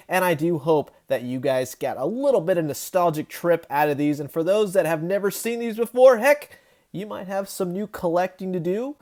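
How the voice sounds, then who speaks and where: Chatty, enthusiastic man with a higher-pitched voice. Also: a little mellow. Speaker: toy review video host. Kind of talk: casual enthusiastic toy review narration.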